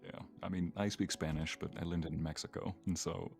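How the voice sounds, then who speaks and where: A man's voice: low-pitched, fairly even intonation, a little fast, vocal fry, faint music behind. A man chatting casually as a guest on a livestream, joking and chipping in.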